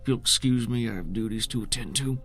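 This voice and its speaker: Man acting as a grave, gravelly baron. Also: medium pitch, quick pace, a bit bright, soft background music. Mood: grave, urgent. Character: grave, formal male baron and city councillor.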